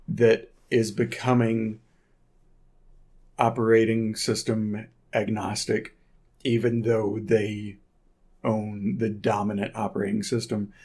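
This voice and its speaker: A man's voice: medium pitch, darkish tone. A man giving casual, unscripted tech commentary as a video host, in a conversational, opinionated way.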